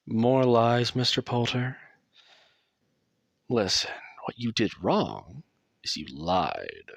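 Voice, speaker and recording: A man playing a tall, coolly polite asylum doctor in an audio roleplay, soft-voiced and measured, with veiled menace. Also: on the low side, gravelly, no room sound, heavily acted. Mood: cold authority.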